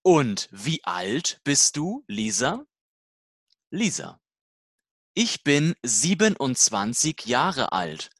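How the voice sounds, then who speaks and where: Scripted lesson dialogue, very slow pace, deliberate simple phrasing, dry close sound. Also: medium pitch, big pitch swings, no room sound. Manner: slow, deliberate, simple learner-level sentences.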